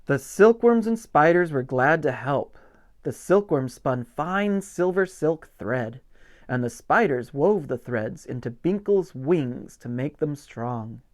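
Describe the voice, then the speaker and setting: Slow-paced man reading a children's story. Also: fairly high pitch. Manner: gentle, expressive, stretches key words for emphasis. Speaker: pastor reading a children's picture book aloud. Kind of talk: expressive storytime reading with simple asides to children.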